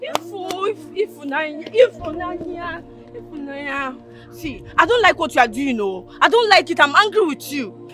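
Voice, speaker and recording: A woman's voice: very high voice, a little slow, bright, forceful tone, faint music behind, exaggerated voice. A woman playing an alarmed village woman who exclaims and pleads. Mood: scolding.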